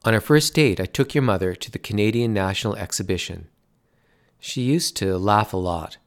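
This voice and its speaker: A man voicing an excitable, stubborn middle-aged father who talks insistently and grows indignant. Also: lowish voice, normal speed, close to an everyday voice. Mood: eager. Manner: insistent, growing loud and indignant.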